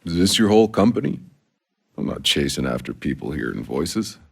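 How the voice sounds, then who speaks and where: Game mercenary: extremely low, husky male voice, world-weary and sardonic, heard with long reverb. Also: very animated, a little fast, exaggerated voice. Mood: weary, guarded. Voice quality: gravelly, husky. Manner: sardonic, gruff, laconic. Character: weary, cynical, foul-mouthed male sci-fi soldier mercenary. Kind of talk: video game voice acting.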